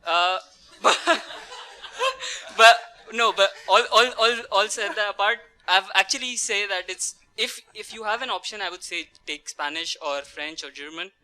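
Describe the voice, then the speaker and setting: Young male student panelist, high-pitched and crisp, giving a casual testimonial. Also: unhurried, sharp tone. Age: young adult. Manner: chuckles while talking.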